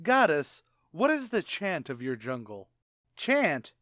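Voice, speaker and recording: A man playing a boastful, stammering tavern manager in a 1940s-style radio comedy, bluffing with rough grammar. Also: on the high side, huge pitch swings, takes it slowly, a bit bright, no echo, clean studio sound, full character voice. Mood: flustered.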